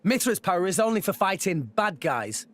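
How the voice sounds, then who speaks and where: A man's voice: sits high, big pitch swings, normal speed, a bit bright. A man voicing an earnest, plucky teenage hero in a video game, direct and scrappy.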